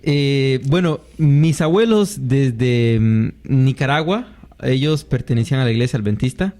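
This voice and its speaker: A man from Costa Rica, a guest on a faith interview, giving his personal testimony in a reflective way with pauses. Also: mid-range voice, expressive, mellow. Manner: reflective, thoughtful storytelling with pauses.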